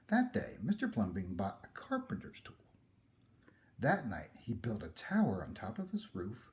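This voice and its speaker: A man reading a children's picture book aloud in a theatrical way, with dramatic pauses. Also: mid-range voice, warm tone. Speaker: story reader.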